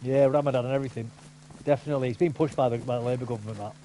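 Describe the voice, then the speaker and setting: A man's voice: medium pitch, steady tone, very fast, dark tone, poor audio. A man chatting casually with a friend while gaming, rambling and opinionated with many restarts. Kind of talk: casual chat over gameplay.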